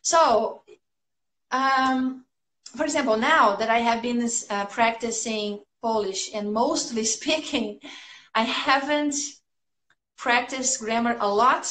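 Brazilian-accented woman in her thirties, upbeat English teacher chatting to viewers; slightly high voice, echoey home room, phone up close. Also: fairly bright tone. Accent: Brazilian Portuguese. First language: Portuguese. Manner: chatty and upbeat, laughing often. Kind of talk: casual livestream chat answering viewers' comments. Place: small room at home, indoors.

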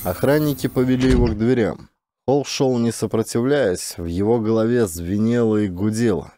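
A man's voice: mid-range voice, normal speed, mellow, a little hiss or hum. A man reading fiction as an audiobook narrator, in a dramatic scripted style.

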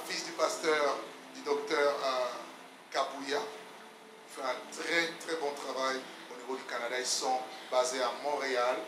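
A man, the host pastor, enthusiastically introducing a guest preacher and his wife on a handheld mic. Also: medium pitch. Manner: warm, enthusiastic introduction with fillers. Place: large church hall, indoors. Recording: echoey church hall, noisy.

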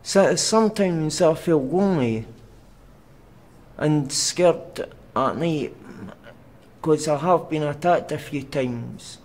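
A young man with a Glaswegian Scottish accent, giving personal testimony for a documentary in a halting, plain way with pauses and fillers. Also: fairly high pitch, a little mellow, rough recording. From Scotland. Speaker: documentary interviewee. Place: outdoors on a city street at night.

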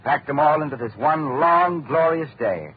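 A man's voice: on the high side, animated, normal speed, husky. A man playing a bitter, mocking older scientist who is dying, in 1940s radio acting style, with a sardonic, brooding delivery. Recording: tinny lo-fi old radio recording.

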